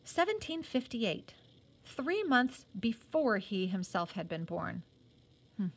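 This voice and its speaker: Woman, higher-pitched voice, read-aloud storytelling, teacher, explanatory asides. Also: sing-song, slow. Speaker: teacher reading a novel aloud.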